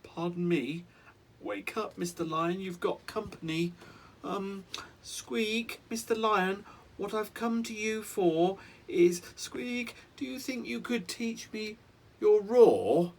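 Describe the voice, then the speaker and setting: A man voicing a tiny, timid male mouse in a bedtime story, with a squeaky, eager, breathless voice. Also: takes it slowly, gravelly, far from the mic, full character voice. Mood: nervous. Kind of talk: bedtime picture-book reading.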